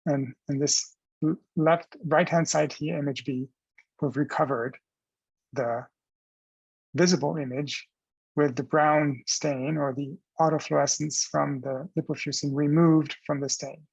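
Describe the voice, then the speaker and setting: A man giving a scientific research presentation as a professor, explaining in a measured, halting way with restarts. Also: a little high, steady tone, gravelly, breathy edge, no room sound, dull audio.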